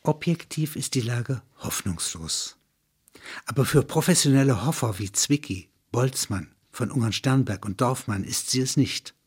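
A man's voice: mid-range voice, lively intonation, unhurried, darkish tone, breathy edge. A man narrating a satirical science fiction radio play as a sober chronicler.

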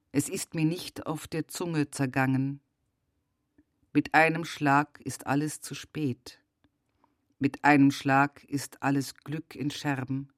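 Solemn portentous guy, radio play, poetic, really slow, dry recording. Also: fairly high pitch, dry recording. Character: solemn man telling of an ominous otherworldly storm.

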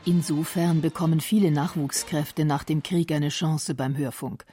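A woman reading narration for a radio documentary feature in a calm, precise way. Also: low voice, normal speed, soft background music.

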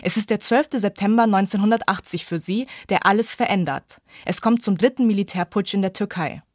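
A woman's voice: mid-range voice, a little fast, a little mellow, no room sound, studio quality. A woman reporter reading a scripted radio book review feature.

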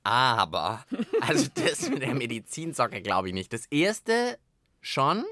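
A man's voice: mid-range voice, huge pitch swings, slow, bright, forceful tone, no room sound. A man co-hosting a children's podcast in a studio, bantering casually while trying out experiments.

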